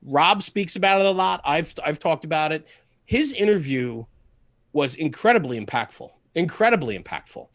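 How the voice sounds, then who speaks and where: A man with a slightly higher-than-average voice talks casually as an interview guest. He is earnest and emphatic, often repeating phrases for stress. The sound is fairly dry and close. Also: expressive, normal speed, fairly bright tone, dry recording. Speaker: podcast guest, fire service media host. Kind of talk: casual podcast interview, passionate monologue.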